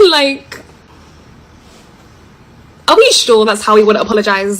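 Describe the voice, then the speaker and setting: A woman's voice: mid-range voice, low-quality recording. A woman from Egypt talking casually to her followers in a chatty way, giggling as she goes. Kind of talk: casual talk to camera.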